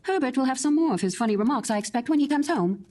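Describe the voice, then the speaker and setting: Old housewife in a horror audiobook, high voice all over the place, anxious, faint music behind. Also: female voice, expressive, fast, dark tone. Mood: scoffing, teasing. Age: older. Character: anxious old English housewife and mother, excitable. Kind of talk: dramatic horror audiobook acting.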